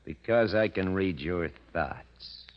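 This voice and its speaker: An actor playing a cold, taunting mind-reading crook in a 1940s radio drama. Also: male voice, sits low. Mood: taunting, confident. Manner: taunting, menacing, deliberate. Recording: muffled vintage radio recording.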